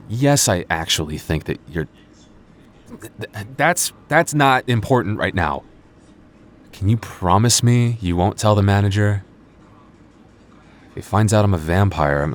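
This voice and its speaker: Very deep-voiced, teasing young vampire guy, acted by a man. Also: a little hiss or hum. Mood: flustered, exasperated. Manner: hesitant stammering, sardonic banter. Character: flustered teasing young vampire convenience store coworker, male. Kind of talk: ASMR roleplay audio drama.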